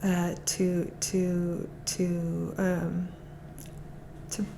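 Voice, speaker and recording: A woman's voice: lowish voice, monotone, drawn-out words, gravelly, warm tone, a little hiss or hum. A woman speaking as a guest on a podcast, talking eagerly and often restarting her phrases. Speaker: guest, art auction specialist. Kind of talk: casual conversation.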